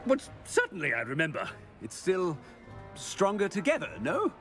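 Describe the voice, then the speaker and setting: A man's voice: sits high, wildly swooping pitch, normal speed, a bit bright, faint music behind, heavily acted. A man playing a pompous, flustered school headmaster in a video game, with a stammering, blustering manner. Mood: bluffing, imperious. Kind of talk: video game character acting.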